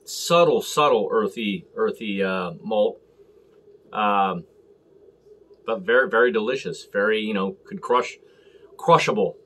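An American man hosting a casual beer review to camera, talking in halting, clipped short phrases. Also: lowish voice, fairly bright tone. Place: small room at home, indoors.

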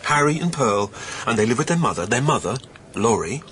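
A confused, anxious ordinary man in a radio play. His voice is sharp and forceful, rising and falling widely as he insists on answers. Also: medium pitch, sing-song, fairly quick. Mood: flustered. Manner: insistent, questioning, agitated. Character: bewildered, anxious divorced father, a research scientist. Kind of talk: audio drama acting.

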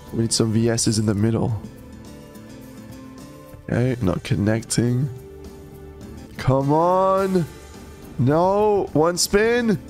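A young man giving casual live commentary over a slot game, mid-pitched and talking straight to his viewers. He swings between relaxed chatter and sudden excited shouts, and he is sick, with a voice that is wearing out. Faint music runs underneath. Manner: excitable, breaks into loud yelps at wins. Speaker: slot streamer.

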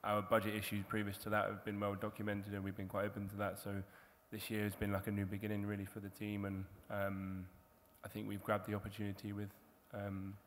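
Flat-voiced man in an echoing hall. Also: on the low side, a little fast, hardly any pauses. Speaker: team manager. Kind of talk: panel guest talk. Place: large hall on stage before an audience. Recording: long hall reverb, faint crowd hum.

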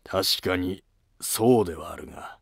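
A man's voice: low-pitched, unhurried, creaky, husky, no echo, clean studio sound, exaggerated voice. A man playing a guarded court nobleman in a historical drama, with stiff, archaic, formal speech. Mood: reserved, firm.

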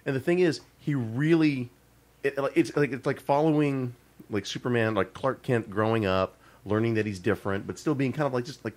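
A man's voice: medium pitch, a little fast, darkish tone. Chatty man with a middle voice. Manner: chatty, lots of fillers and restarts, laughing. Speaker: podcast co-host. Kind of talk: casual chat.

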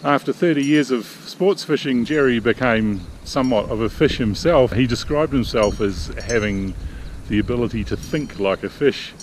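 A middle-aged man talks straight into a camera held at arm's length, in a relaxed, rambling vlog manner. His pitch is ordinary for a man. Faint city hum sits behind him in the open air. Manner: relaxed, chatty, halting with fillers. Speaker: sailing vlog host. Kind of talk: casual vlog talk to camera. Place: outdoors in a paved city square.

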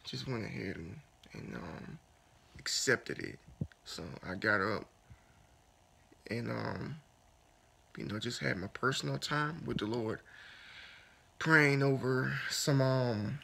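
A man in his thirties lying in bed in a dark bedroom, talking softly and earnestly into a phone held close in a raspy morning voice, then praying aloud. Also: medium pitch. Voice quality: gravelly. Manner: soft, unhurried, earnest with many restarts. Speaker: fitness vlogger. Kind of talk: casual morning talk and spoken prayer. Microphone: phone camera held close.

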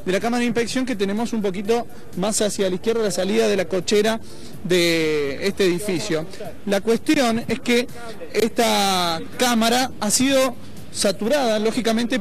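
High-voiced young Argentine male street reporter. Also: normal speed, gravelly. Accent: Argentine, Córdoba. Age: young adult. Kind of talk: live news reporting and interviewing. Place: outdoors on a city street. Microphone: handheld reporter microphone.

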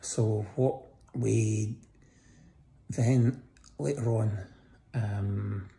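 A middle-aged man talks from a hospital bed, his voice croaky and gravelly. The tone is low and very dark, and the phone sits close to his face. He speaks tiredly, with many pauses, as he gives a casual update. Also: steady tone. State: croaky voice after breathing tubes. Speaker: vlogger giving a hospital update. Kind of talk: casual personal video update. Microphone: phone held close above face.

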